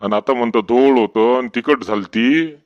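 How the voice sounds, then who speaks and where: A man's voice: mid-range voice, moderate pace, close to an everyday voice. A man voicing a put-upon, grumbling henpecked husband in a comedy cartoon, with a Varhadi Marathi accent. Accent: Varhadi Marathi from Vidarbha. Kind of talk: comedy cartoon dialogue in rural dialect. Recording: dull, muffled sound.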